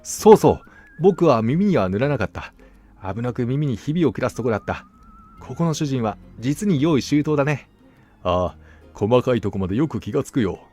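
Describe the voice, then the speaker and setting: A man voicing a gullible, self-assured gentleman hunter in a storybook, musing aloud conversationally. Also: medium pitch, very animated, moderate pace, faint music behind. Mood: musing, satisfied. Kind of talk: storybook dialogue acting.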